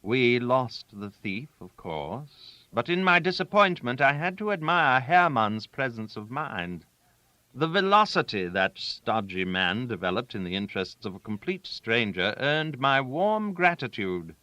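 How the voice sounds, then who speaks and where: A man narrating a literary story aloud, with a theatrical, wry manner and deliberate emphasis on key words. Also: mid-range voice, big pitch swings, bright, forceful tone, no room sound. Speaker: audiobook narrator.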